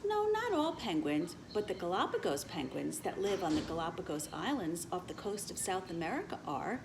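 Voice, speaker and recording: A woman voicing a patient female elementary teacher in a children's storybook, explaining things clearly and emphatically. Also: on the low side, very animated, moderate pace, noisy background. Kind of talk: children's storybook read-aloud.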